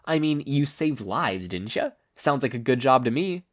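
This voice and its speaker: A man's voice: medium pitch, expressive, normal speed, pitched above the performer's own voice, close to an everyday voice. A man voicing a confident, encouraging woman hero with a casual, teasing way of talking, in a story reading.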